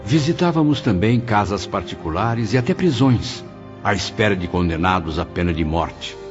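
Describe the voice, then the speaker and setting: A man's voice: lowish voice, animated, moderate pace, natural voice. A man playing a sorrowful spirit who recounts painful memories in a solemn, literary audiobook narration. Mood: solemn, recounting. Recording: muffled, dull sound. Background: music.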